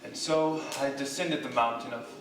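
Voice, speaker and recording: A woman reading fragments of a literary story in a theatrical, measured manner during a staged performance. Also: very deep voice, fairly even intonation, a crowd in the background. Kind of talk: dramatic literary storytelling, scripted narration. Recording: distant echoey hall, long reverb, noisy ambience.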